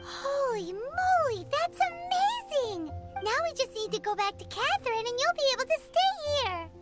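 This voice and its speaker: A woman voicing a tiny, excitable female fairy companion in a video game who talks about herself in the third person. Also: very high-pitched, normal speed, loud music behind the voice. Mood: amazed.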